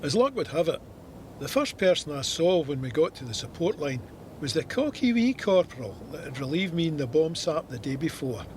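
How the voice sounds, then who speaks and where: A man's voice: on the high side, very animated, normal speed, a little mellow, a little hiss or hum. An actor with a Scottish accent playing a wry, plain-spoken soldier narrating his war memoir with dry understatement. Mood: matter-of-fact recollection.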